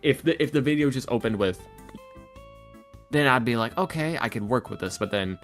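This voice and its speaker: A young man chatting casually as a podcast guest, slangy, animated and jokingly exasperated about himself. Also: mid-range voice, normal speed, soft background music. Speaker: ASMR roleplay audio creator, podcast guest.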